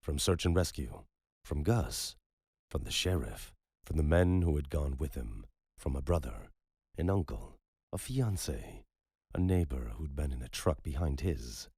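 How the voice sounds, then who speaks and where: A man reading scripted dramatic fiction as an audiobook narrator, in a husky voice. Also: a bass voice, animated, measured pace, a little mellow, no room sound, studio quality.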